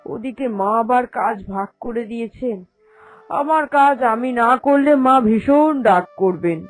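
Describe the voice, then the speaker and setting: A woman's voice: fairly high pitch, animated, a little slow, faint music behind, poor audio. A woman playing a grumbling, scheming daughter-in-law in a cartoon, with a whining, complaining delivery. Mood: aching, plaintive. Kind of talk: animated cartoon dialogue.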